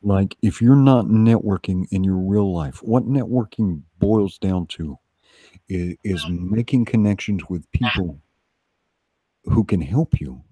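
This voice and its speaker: A man's voice: sits low, slow, mellow, husky, dry recording. A man co-hosting a casual livestream, talking emphatically and repeating phrases for weight. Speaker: co-host. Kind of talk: casual livestream pep talk.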